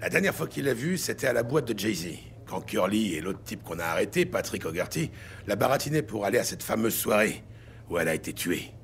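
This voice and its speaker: An actor playing a tough, blunt New York police detective in a French audio drama, pressing and insistent. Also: male voice, fairly low pitch, very animated, a little fast, vocal fry, slight background noise. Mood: explanatory. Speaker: police detective interrogating suspects. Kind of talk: dramatic audio series acting.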